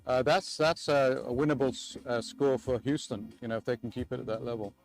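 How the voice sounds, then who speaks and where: A man from England, a cricket co-commentator, chatting in a relaxed way and often agreeing. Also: medium pitch, brisk. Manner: relaxed, frequent agreeing yeahs.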